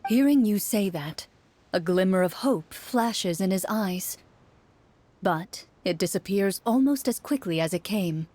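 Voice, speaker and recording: A woman's voice: mid-range voice, lively intonation, unhurried, darkish tone. A woman giving scripted second-person storytelling narration for a video game.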